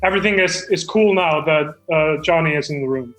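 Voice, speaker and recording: Self-important hopeful screenwriter male performer, high voice, stammering earnestness, comedy table read, echoey room. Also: fairly quick, fairly bright tone, faint music behind, lightly acted. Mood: earnest. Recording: roomy, echoey room sound.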